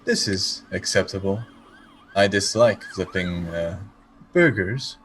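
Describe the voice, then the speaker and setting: Man playing a slow, stilted, melancholy android. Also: sits low, lively intonation, fairly bright tone, slight background noise, exaggerated voice. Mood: flat, resigned. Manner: stilted, formal, halting delivery. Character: melancholy, polite male android poet, fast-food worker. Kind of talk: radio play acting.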